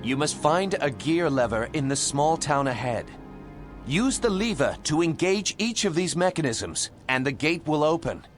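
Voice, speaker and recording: Heroic fantasy prince, higher male voice, formal and commanding, music behind him. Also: very animated, normal speed. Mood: earnest, resolute. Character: noble, earnest human warrior prince leading refugees. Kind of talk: video game cutscene acting.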